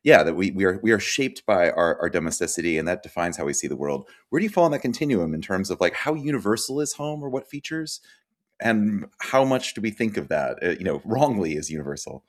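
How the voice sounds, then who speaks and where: A man's voice: low-pitched, expressive, brisk. A man co-hosting an interview, asking a long, rambling academic question with many filler words. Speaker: podcast co-host.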